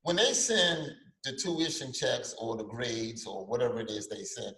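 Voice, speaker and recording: A man's voice: medium pitch, normal speed. Sarcastic older man in echoey room. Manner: animated, sarcastic, stammering restarts. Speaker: podcast co-host. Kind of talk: casual opinionated chat.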